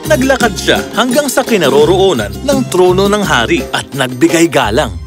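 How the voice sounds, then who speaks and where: A man's voice: fairly high pitch, very animated, moderate pace. A man narrating a scripted children's fairy tale as the storyteller. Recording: music clearly behind the voice.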